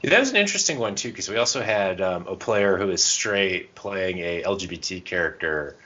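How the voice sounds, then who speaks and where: Muffled, lo-fi audio carries a very low-pitched man's voice. He talks casually as a podcast co-host, explaining things through examples in a loose, conversational way. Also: expressive, brisk, sharp tone. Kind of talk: casual conversational advice and anecdotes. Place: podcast recording. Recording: dull, muffled lo-fi sound.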